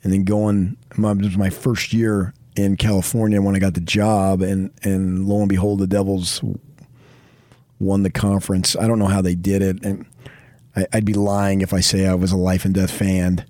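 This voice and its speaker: A man's voice: low-pitched, level tone, normal speed, warm tone, no room sound, studio quality. A middle-aged man with a New Jersey accent, talking sports off the cuff in a rambling, emphatic, conversational way as a radio host. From New Jersey, later Arizona. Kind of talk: unscripted sports talk.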